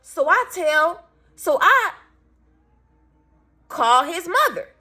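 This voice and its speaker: A raspy-voiced woman in her thirties, a single parent venting bluntly and with swearing as she tells a story to her viewers. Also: very high voice, big pitch swings, extremely slow, bright, forceful tone. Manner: blunt, profane, emphatic.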